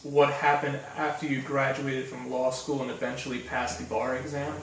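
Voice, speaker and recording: Male interviewer, mid-pitch, halting friendly questions, distant roomy sound with faint hiss. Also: level tone. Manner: friendly, hesitant, many fillers.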